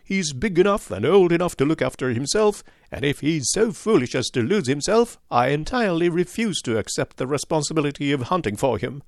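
A man voicing a querulous little old nobleman, miserly and indignant, with a sharp crackling voice and quick, intense, scolding manner. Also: on the high side, expressive, creaky, no echo, studio quality.

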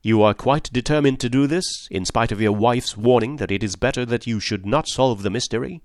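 A man reading aloud and voicing a calm detective who speaks in a measured, reasoned manner. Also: medium pitch, no echo, studio quality, close to an everyday voice. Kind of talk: audiobook character voice.

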